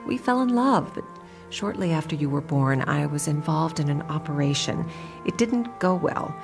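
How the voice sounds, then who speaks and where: A woman's voice: sits low, expressive, normal speed, creaky. A woman playing a guarded, easily flustered doctor with a troubled past in a motion comic, speaking earnestly and hesitantly. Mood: regretful, evasive. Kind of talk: motion comic dramatic acting. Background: background music.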